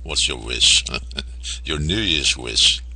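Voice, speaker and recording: A man conducting an informal interview, halting and wordy as he rephrases his questions. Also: extremely low pitch, animated, bright, forceful tone.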